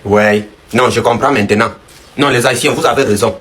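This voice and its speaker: A man's voice: medium pitch, moderate pace, fairly bright tone. A man giving casual, emphatic social media commentary and repeating short phrases.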